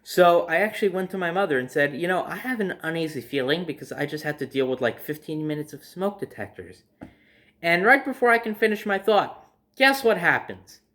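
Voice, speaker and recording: A man's voice: on the high side, animated, brisk, fairly bright tone. A man telling personal stories casually to his viewers, in a conversational way, acting out his reactions as he goes. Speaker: storyteller hosting own video series.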